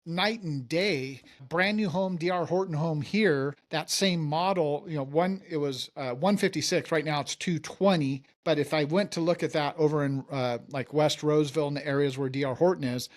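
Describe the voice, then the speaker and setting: A man's voice: fairly high pitch, moderate pace, few pauses. A middle-aged man from California hosting a casual interview, rambling and conversational with many fillers. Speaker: podcast host.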